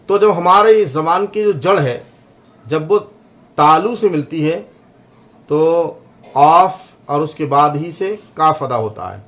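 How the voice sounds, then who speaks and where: A man giving a slow religious lesson on pronouncing letters, repeating each sound to demonstrate it. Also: on the high side, a bit bright. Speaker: Quran teacher.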